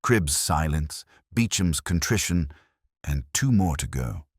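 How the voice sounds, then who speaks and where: Guy playing a brooding detective inspector, super deep, measured and sceptical, mystery audiobook, dry sound. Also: lively intonation, slightly rough, dry recording, heavily acted. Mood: brooding deduction. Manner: measured, probing.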